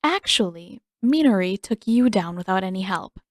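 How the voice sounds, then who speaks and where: A woman's voice: mid-range voice, animated, moderate pace, no echo, clean studio sound, close to an everyday voice. A woman voicing a stern young female warrior leader in an audiobook story, firm, clipped and authoritative. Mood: cool, stern. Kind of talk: audiobook character dialogue.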